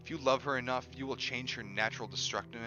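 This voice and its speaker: A man reading a book aloud in an expressive style. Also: medium pitch, quick pace. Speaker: reader of an audiobook on a stream. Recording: dull lo-fi audio with music behind. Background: music.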